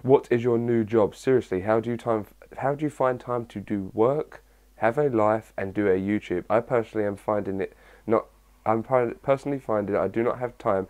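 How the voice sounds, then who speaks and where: A young man talks loosely to his viewers, his voice a little husky from the early hour. He sits a bit low in pitch and wanders through his answers with plenty of fillers and restarts. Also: normal speed, a little mellow. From England. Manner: chatty and rambling, with many fillers. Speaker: fitness vlogger answering viewer questions. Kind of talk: casual chat to camera.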